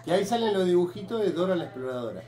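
A man's voice: high voice, big pitch swings, rapid-fire, mellow. A man with an Argentine Rioplatense accent telling rowdy, swearing stories among friends. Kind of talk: casual storytelling. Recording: echoey room with music.

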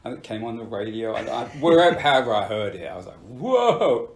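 A man interviewing a musician in casual chat, enthusiastic and full of quick interjections. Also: mid-range voice, sing-song, roomy sound. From England.

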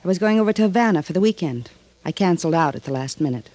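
A woman's voice: on the low side, animated, rapid-fire, dark tone, lightly acted. An actress playing a flirtatious, self-possessed, daring woman in a 1950s radio drama. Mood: guarded, flirtatious. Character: flirtatious, daring adult woman fascinated by death.

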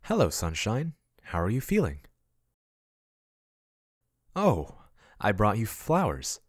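Aita, a man voicing a deep husky yandere. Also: wildly swooping pitch, takes it slowly, no echo, clean studio sound, full character voice. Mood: doting. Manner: soft, doting, then coolly possessive. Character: obsessive male chatbot-turned-human yandere lover. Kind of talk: ASMR boyfriend roleplay.

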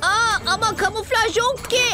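A woman voicing an excitable six-year-old boy superhero in a children's cartoon, wide-eyed and exclaiming. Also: extremely high pitch, normal speed, music in the background. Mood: eager, alarmed.

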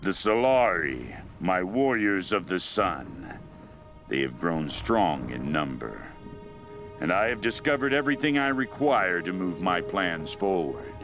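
A man's voice: low-pitched, sing-song, music in the background, exaggerated voice. An actor playing a fanatical, mad cult leader obsessed with a queen, delivering a brooding, menacing diary monologue for a video game. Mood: calculating, intense. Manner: slow, brooding, menacing, intense.

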